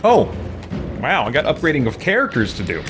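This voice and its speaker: A man's voice: mid-range voice, wildly swooping pitch, a little fast, loud music behind the voice. A man doing casual live gameplay commentary, thinking aloud and muttering to himself as he plays. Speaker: game streamer.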